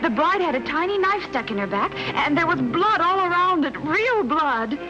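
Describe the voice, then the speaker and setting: Casting: inquisitive young wife-detective, breathless and chatty, very high voice, dull old radio sound. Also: female voice, big pitch swings, moderate pace. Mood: agitated, chatty. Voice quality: high-pitched. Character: flustered, inquisitive young wife turned amateur sleuth. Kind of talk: old-time radio mystery acting. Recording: muffled old radio broadcast, music.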